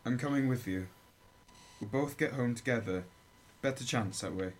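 A man's voice: mid-range voice, moderate pace, lightly acted. A man acting in a radio drama as a young soldier, an anxious and loyal friend who keeps questioning. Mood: concerned. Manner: worried, questioning. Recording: loud background noise and ambience.

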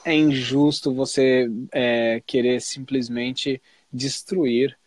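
A man in his late thirties, a co-host, chatting casually and relaxed, filling his talk with little tags. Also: medium pitch, takes it slowly, no echo. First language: Portuguese. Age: 30s. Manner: relaxed, many fillers like "né" and "ok". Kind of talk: casual bilingual chat.

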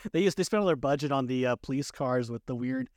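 A man's voice: mid-range voice, lively intonation, brisk, darkish tone, dry recording, studio quality. A jocular man co-hosting a casual movie chat, rambling along and often amused. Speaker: podcast co-host.

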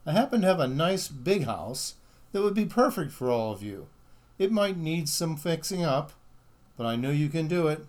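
A woman's voice: sits low, very animated, moderate pace, darkish tone. A woman voicing a generous, welcoming king in a children's storybook.

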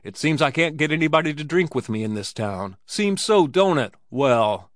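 A man voicing a burly, boastful, drunken saloon bully in an audiobook, loud and insistent. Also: mid-range voice, lively intonation, a little slow, no echo, clean studio sound, natural voice. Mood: angry, blustering. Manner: loud, insistent, blustering exclamations. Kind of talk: dramatized audiobook character.

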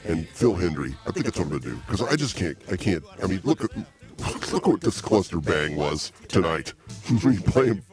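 A man's voice: deep voice, very animated, normal speed, darkish tone, soft background music. A man hosting a comedy podcast, laughing and rambling in a self-deprecating way. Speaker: podcast host. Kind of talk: casual comedy podcast chat.

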